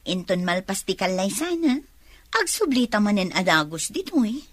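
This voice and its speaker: Opinionated female, raspy-edged voice, Ilocano accent, dry close sound, radio drama. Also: mid-range voice, big pitch swings, normal speed, fairly bright tone, no echo. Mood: concerned. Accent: Filipino Ilocano.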